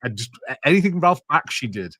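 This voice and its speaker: A man's voice: medium pitch, big pitch swings, a little fast, bright, forceful tone, dry recording. A man co-hosting a podcast in casual film chat, joking and swearing freely. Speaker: podcast co-host. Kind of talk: casual watch-party chat about films.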